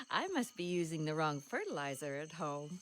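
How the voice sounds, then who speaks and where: A woman playing a cheerful, gentle mother in a children's cartoon, speaking in a genteel, playful way. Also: low voice, a little hiss or hum, full character voice. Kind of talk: animated series voice acting. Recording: music under the voice.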